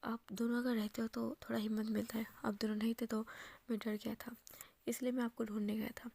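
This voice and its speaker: A woman's voice: a little high, little pitch movement, fairly quick, vocal fry, mellow, no room sound. A woman whispering as a frightened young boy. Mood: scared.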